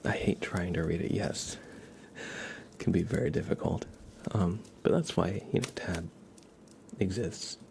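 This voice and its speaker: Low, creaky, soft-spoken ASMR man. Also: unhurried, a little hiss or hum. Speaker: ASMR live streamer. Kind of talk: ASMR, casual informal music explanation to live chat.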